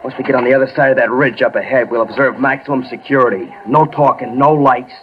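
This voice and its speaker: Actor as bossy squad leader, muffled noisy radio. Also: male voice, mid-range voice, fairly even intonation, quick pace, natural voice. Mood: commanding. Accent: American. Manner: commanding, clipped orders. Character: gruff, bossy infantry squad leader under fire. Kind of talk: vintage radio drama acting.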